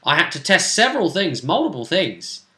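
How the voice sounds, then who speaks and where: Man, lively swooping intonation, bright forceful tone, casual role-playing game talk, echoing room. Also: on the high side, moderate pace. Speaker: co-host of a tabletop role-playing vidcast. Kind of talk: casual chat recapping a role-playing campaign. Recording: echoey room.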